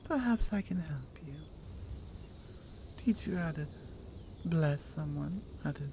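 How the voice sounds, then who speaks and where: A woman voicing a confident, teasing strong Nordic warrior healer in a soft ASMR roleplay. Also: low voice, expressive, a little slow, creaky, background noise, exaggerated voice. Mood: gentle, assured.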